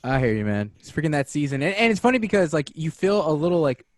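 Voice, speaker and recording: A man co-hosting a podcast in relaxed, rambling casual chat. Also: fairly high pitch, fast, no room sound, studio quality. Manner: easygoing, rambling with fillers. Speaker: podcast co-host.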